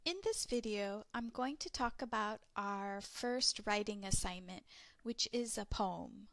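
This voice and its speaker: Slow, clear woman teaching a class. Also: mid-range voice, fairly bright tone, dry recording. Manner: slow, clear teacher talk explaining step by step. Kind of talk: recorded class lesson for English learners.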